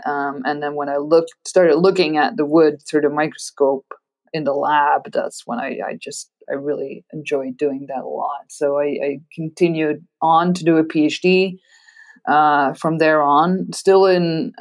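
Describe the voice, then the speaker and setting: A woman's voice: sits low, flat delivery, normal speed. A middle-aged woman from Belgium with a Dutch accent, a scientist being interviewed, speaking thoughtfully with frequent restarts and fillers. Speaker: guest scientist. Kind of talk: podcast interview.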